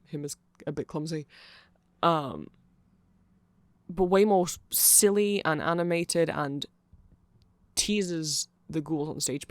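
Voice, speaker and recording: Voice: medium pitch, no echo. Rambling, playful ASMR explainer with a dry close sound. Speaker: ASMR creator explaining band lore.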